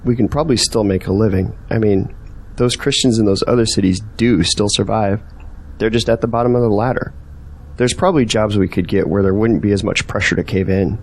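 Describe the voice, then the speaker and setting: A man's voice: lowish voice, steady tone, fairly quick. Earnest man, searching and persuasive, questioning manner, audio drama dialogue, acted by a man. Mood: tentative, reflective.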